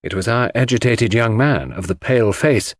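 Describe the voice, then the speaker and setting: A man's voice: mid-range voice, normal speed, no echo, studio quality. A man reading scripted narration of a classic mystery as an audiobook narrator.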